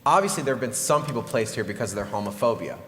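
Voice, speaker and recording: A man's voice: mid-range voice, animated, very fast, a bit bright, slight background noise, lightly acted. A man playing an indignant, passionate juror in a live stage play, arguing earnestly. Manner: earnest, argumentative. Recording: echoey hall stage recording.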